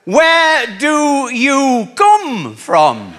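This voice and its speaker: A male comedian acts out an Englishman abroad in a comic gag. He pitches his voice up, loud and bright, and stretches each word extremely slowly, over-enunciating so that foreigners understand. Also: wildly swooping pitch, full character voice. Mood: painstakingly slow.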